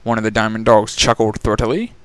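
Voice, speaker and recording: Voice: medium pitch, fairly even intonation, fairly quick, clean tone, no echo. A narrator giving a dramatic reading of a story, telling it in scripted prose.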